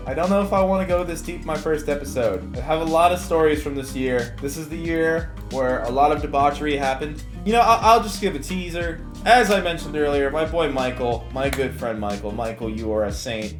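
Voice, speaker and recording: Young adult male storyteller, Californian accent, animated vlog chat, very roomy sound over light guitar music. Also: on the high side, a little fast. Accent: Californian American. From California, United States. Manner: animated, slangy, self-mocking. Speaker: YouTuber telling stories. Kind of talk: casual storytime chat to viewers. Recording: echoey room with music bed. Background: background music with guitar.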